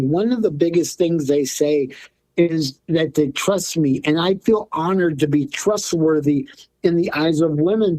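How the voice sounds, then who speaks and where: A man's voice: fairly high pitch, a little mellow, no room sound, rough recording. A middle-aged man from Los Angeles, a guest in an interview who talks earnestly and reflectively about his healing work, often repeating words as he thinks. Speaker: guest, drum maker and healer. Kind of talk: interview conversation.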